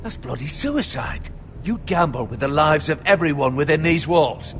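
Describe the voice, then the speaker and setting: A shrewd castle sentinel. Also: male voice, fairly high pitch, lively intonation, moderate pace, fairly bright tone, soft background music. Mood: indignant. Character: shrewd, loyal male sentinel urging diplomacy. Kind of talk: dramatic video game voice acting.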